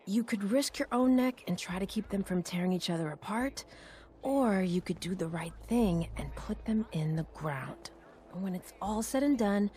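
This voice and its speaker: An actress playing a nervous, deferential doctor among raiders in a video game, speaking hesitantly. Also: female voice, medium pitch, darkish tone, slight background noise, lightly acted. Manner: hesitant, halting. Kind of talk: video game character dialogue.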